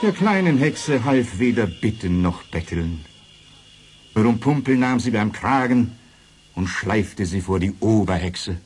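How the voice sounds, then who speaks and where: A man with a fairly low, husky voice narrates a children's story. He reads in a measured storyteller's way. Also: expressive, a little mellow.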